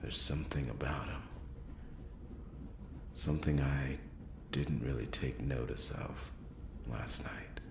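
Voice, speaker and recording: A weary, hardboiled noir detective acted by a man broods through his story in an extremely deep, gravelly murmur. He speaks very slowly and haltingly, half whispering, with long pauses, in first-person ASMR roleplay style. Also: noisy background, exaggerated voice. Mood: groggy, uneasy. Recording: roomy echo under clear music.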